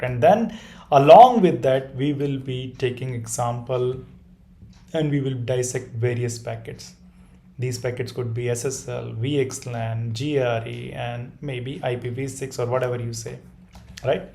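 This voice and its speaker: A man whose first language is Hindi, teaching an online course in a webinar and pitching the paid course, often restarting and tagging lines with "right?". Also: dark tone, slight background noise. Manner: repeats "right?" and restarts often, talking through a whiteboard diagram. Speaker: course instructor.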